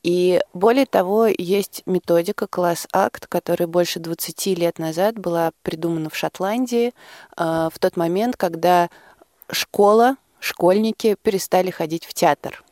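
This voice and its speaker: A woman's voice: on the low side, moderate pace, a little mellow, no echo, clean studio sound. A woman speaking as a radio guest, explaining things in interview talk. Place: dry radio studio.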